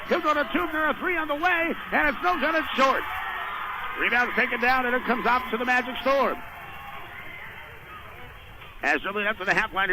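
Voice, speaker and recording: A male play-by-play announcer with a strikingly high voice calls live basketball for radio. He follows the action in short, urgent running phrases. The broadcast is plainly noisy behind him.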